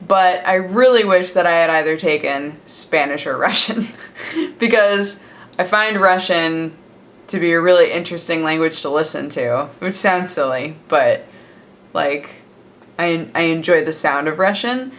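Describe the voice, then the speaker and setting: A woman's voice: lowish voice, fairly bright tone. A young woman from the United States chatting casually to camera in a daily vlog, rambling with lots of fillers and laughs. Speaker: vlogger. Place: home, sitting with coffee.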